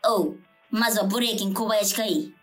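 An actress playing an excitable taxi driver and devoted radio fan in a comedic radio drama, with a Kansai accent and animated, indignant back-talk. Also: female voice, medium pitch, brisk, soft background music, lightly acted, rough recording.

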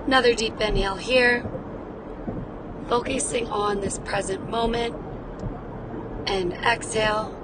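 An upbeat woman, her voice slightly raspy from days of cheering, talks in a warm, encouraging way as a wellness podcast host. She records from inside a moving car. Road noise hums clearly underneath her voice. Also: a little high, steady tone, unhurried, pauses often. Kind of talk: wellness podcast, guided breathing.

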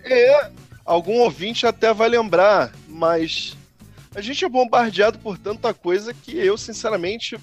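A man's voice: high-pitched, unhurried, soft background music. A man with a Brazilian Portuguese accent, co-hosting a casual podcast and explaining his views with long, reflective examples. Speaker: podcast co-host.

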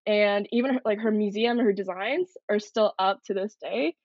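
A woman's voice: mid-range voice, moderate pace, no room sound, highs cut off. A young woman from Canada, a military cadet, answering interview questions in an earnest, reflective way. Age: young adult.

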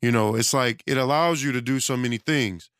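A man's voice: medium pitch, fairly quick, fairly bright tone, smooth, dry recording. A man, self-employed with a studio, talking in a loose, rambling casual monologue, and losing his voice. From United States. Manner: loose, rambling, conversational, with many restarts and fillers.

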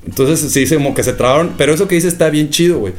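A young Mexican man with a Guadalajara accent talks in a rapid, slang-heavy way with plenty of swearing. His voice sits a bit on the high side. Faint music plays behind him. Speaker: podcast co-host. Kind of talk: casual podcast chat.

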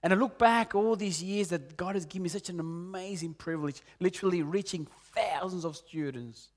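A man in his late forties from Peru, preaching a sermon in an earnest way, rising loud on key points and dropping soft and low. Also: a little fast. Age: 40s. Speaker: pastor.

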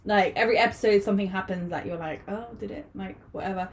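A woman's voice: mid-range voice, fast, a little hiss or hum. Woman, twenties, podcast co-host, giggly and chatty. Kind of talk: casual chat.

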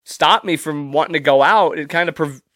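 A man's voice: a little high, normal speed, fairly bright tone, no echo, clean studio sound. A young man hosting a solo podcast, stuffy and congested from allergies, talking casually and rambling with loose, profane humor. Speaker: podcast host.

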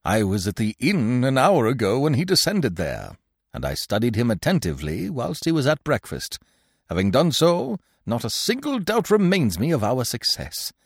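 A man's voice: lowish voice, breathy edge, no room sound, clean studio sound, exaggerated voice. Man playing a sly, self-assured conspirator, swooping intonation. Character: sly, strutting, shabby male conspirator and schemer.

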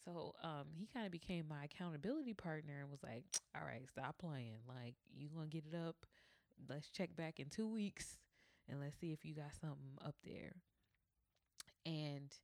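A woman with a deep voice, hosting a podcast and telling a personal story in a candid, conversational way, acting out quoted dialogue. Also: vocal fry, dry recording, studio quality. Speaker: podcast host.

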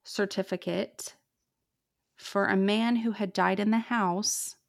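A woman with a fairly deep voice and some vocal fry narrates a true ghost story for podcast listeners. She talks casually, padding her sentences with "like" and "um" as she goes. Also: steady tone, slow pace. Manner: chatty, lots of "like" and "um". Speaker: podcast host telling the story.

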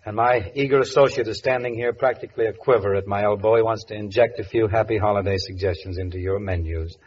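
A man's voice: on the low side, steady tone, fast talker, a little mellow, lo-fi sound. An American man hosting a 1940s radio variety show with genteel, playfully ornate phrasing. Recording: noisy, band-limited old 1940s radio recording.

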